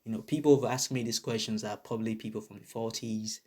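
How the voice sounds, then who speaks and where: A man's voice: fairly low pitch, steady tone, fast talker, a little mellow, husky. Blunt, swearing Nigerian man ranting. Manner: blunt, profane and emphatic, repeats points. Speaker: vlogger. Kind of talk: casual opinion rant to camera.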